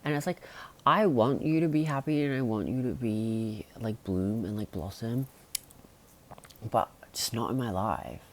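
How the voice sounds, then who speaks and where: A young man chatting casually to camera in a rambling vlog, full of fillers and sudden excited jumps in pitch. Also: unhurried. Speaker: vlogger.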